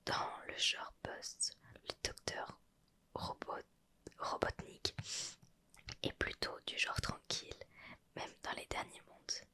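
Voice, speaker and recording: A woman's voice: slow, long pauses, pushed and bright. A young woman whispering through an ASMR roleplay, reading a retro gaming magazine aloud and adding chatty asides and self-corrections. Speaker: ASMR creator.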